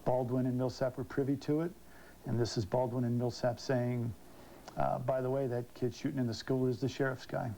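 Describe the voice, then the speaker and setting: A man's voice: mid-range voice, little pitch movement, a little fast, creaky, warm tone, slight background noise, poor audio. A man speaking informally as an interviewee in a news soundbite.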